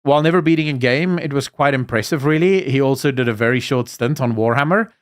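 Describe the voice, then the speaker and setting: A man's voice: medium pitch, fast talker. A young adult Afrikaans man from South Africa with a mixed accent, hosting a live stream, reading chat and giving emphatic opinions with fillers and restarts. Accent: Afrikaans South African, mixed with American and British. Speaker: livestream host reading chat. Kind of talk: casual live chat commentary.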